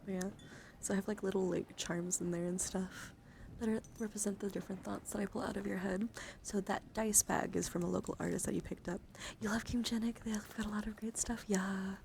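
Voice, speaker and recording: Creaky, whispery woman doing ASMR. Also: medium pitch, fairly even intonation, a little fast, a little hiss or hum. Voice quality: breathy, often whispered. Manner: gentle, soothing, intimate. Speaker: live streamer hosting ASMR. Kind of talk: ASMR, casual chat with viewers.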